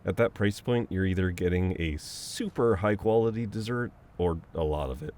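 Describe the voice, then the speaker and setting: A man's voice: sits low, moderate pace, lightly acted. A man playing a caring, teasing, dominant best friend in an intimate audio roleplay, talking casually and conversationally. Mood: playful, relaxed. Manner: casual, conversational, with soft chuckles and hesitations.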